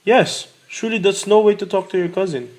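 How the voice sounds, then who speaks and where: A man's voice: sits high, brisk, darkish tone, close to an everyday voice. An actor as a lighter-voiced, courteous older father. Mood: indignant. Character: kindly, courteous, wistful elderly father. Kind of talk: radio play acting.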